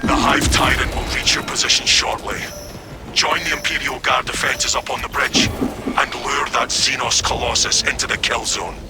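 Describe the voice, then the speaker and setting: An actor playing a stern military commander issuing clipped orders over comms. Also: male voice, mid-range voice, steady tone, moderate pace, over loud music, close to an everyday voice. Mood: commanding.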